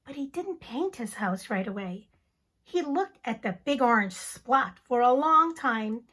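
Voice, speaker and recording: A woman teacher giving a dramatic, expressive reading of a children's picture book. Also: on the high side, unhurried. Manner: expressive, theatrical storytelling.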